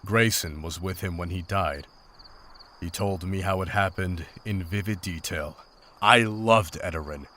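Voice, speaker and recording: A man playing a grim, war-weary monster hunter in a visual novel, speaking in a solemn, formal, measured way. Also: sits low, bright, forceful tone, slight background noise. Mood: grieving. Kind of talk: visual novel voice acting, dramatic journal narration and dialogue.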